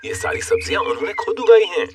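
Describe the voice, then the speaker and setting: A man's voice: sits high, expressive, fast, recorded from a distance, a little hiss or hum. A man playing a friendly storyteller who reads scripted narration for a children's cartoon. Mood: cheerful. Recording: loud music behind.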